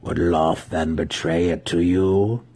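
A man reading a story aloud, voicing a mocking otherworldly demon handmaiden in a slow, overly smooth voice. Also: a bass voice, steady tone, a little mellow, voice pushed down, heavily acted. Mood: mocking, calm.

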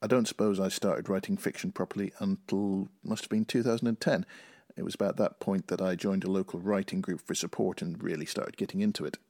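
A middle-aged man from Northern Ireland with a very low, slightly rough voice speaks as a writer on a podcast. The sound is a little roomy. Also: fairly even intonation, a little fast, darkish tone, studio quality. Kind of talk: interview answers and reading own short story.

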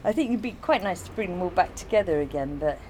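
A woman's voice: on the low side, animated, quick pace, a little mellow, a little hiss or hum, low-quality recording. A woman in informal conversation, explaining and recollecting in a rambling way. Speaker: artist being interviewed.

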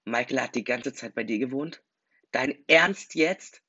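A man voicing an enraged young man who has just become a vampire, shouting accusations in a husky, gravelly voice. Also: on the low side, level tone, brisk, dry recording. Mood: furious. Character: furious young man newly turned vampire. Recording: dull dry recording, faint music.

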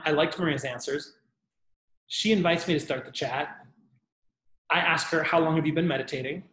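A man's voice: a little high, fairly bright tone, echoey room, rough recording. A man coaching on dating, walking through his text messages in a casual teaching tone. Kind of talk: talking-head advice video.

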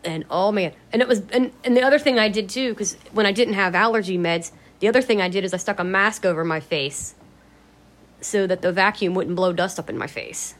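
A woman vlogging in a casual, rambling way, her voice a little raspy from allergies, blunt and free with swear words. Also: mid-range voice, a little fast. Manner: chatty, blunt, swears freely. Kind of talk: casual rambling vlog chat.

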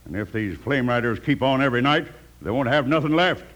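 Male actor's irascible Western banker, medium pitch, curt, scolding, self-important, vintage radio drama. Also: expressive, a little fast, a bit bright, natural voice. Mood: irritated. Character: short-fused, scornful frontier town banker. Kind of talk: 1950s radio Western acting.

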